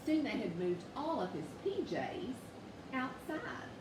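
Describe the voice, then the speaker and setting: A woman reading a children's bedtime story aloud with expressive, measured delivery. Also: a little high. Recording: echoey room, distant mic, noisy background.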